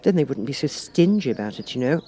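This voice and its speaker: A man plays a young storybook girl in a voice lifted well above a man's usual talk. She is polite and curious, musing earnestly with a lively, hesitant lilt. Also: medium pitch, fast, voice pushed up. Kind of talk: audiobook character voice.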